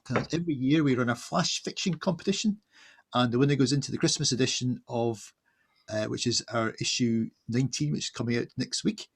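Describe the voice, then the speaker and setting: A man with a creaky, husky voice co-hosts an online event. He gives informal announcements in a halting, hesitant way at a mid pitch. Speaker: event co-host. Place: indoors on a video call.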